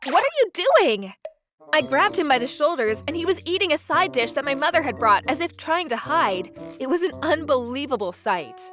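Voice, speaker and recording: High-pitched, animated female manga dub narrator. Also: brisk, few pauses, music in the background. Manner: expressive, theatrical. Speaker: narrator and voice actor. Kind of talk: dramatized first-person manga story narration.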